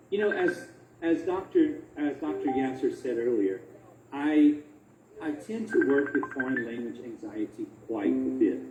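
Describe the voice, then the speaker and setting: A man's voice: medium pitch, dark tone. A man giving a conversational academic talk as a researcher, emphatic, repeating phrases and asking rhetorical questions. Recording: roomy echo, faint background noise.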